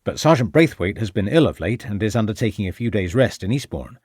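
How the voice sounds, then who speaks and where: A man's voice: lowish voice, lively intonation, quick pace, a little mellow, breathy edge, no room sound, clean studio sound. A man voicing a young, red-faced village constable who reports earnestly and deferentially.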